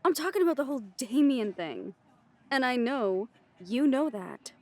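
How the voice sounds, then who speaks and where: A woman's voice: sits high, big pitch swings, moderate pace, a little mellow. A woman playing a sceptical, protective werewolf packmate in an audio roleplay, with a pointed, questioning delivery. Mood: wry, exasperated.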